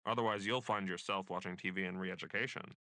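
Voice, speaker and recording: A man's voice: low-pitched, steady tone, quick pace, sharp tone, no room sound, clean studio sound. A man playing a pompous, bigoted state censor in a dystopian radio play, haranguing and scornful. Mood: outraged. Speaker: voice actor.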